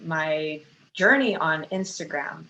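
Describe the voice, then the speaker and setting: A woman with a fairly low voice chats candidly as an interview guest. She sounds casual and a little giggly. The room is echoey, with faint noise behind her. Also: slow pace, sharp tone, lo-fi sound. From Chicago, United States. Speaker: fitness coach, interview guest.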